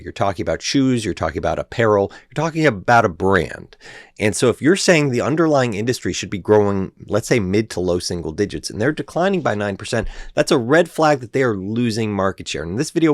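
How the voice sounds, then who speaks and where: A man's voice: fairly low pitch, no echo, studio quality. A man hosting an investing channel, giving stock analysis commentary in an emphatic, analytical way, a little stuffy from a head cold. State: slightly congested from a head cold.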